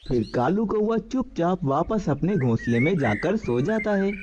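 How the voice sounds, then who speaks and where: Male children's story narrator, animated intonation, fairly high voice, muffled noisy recording. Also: moderate pace.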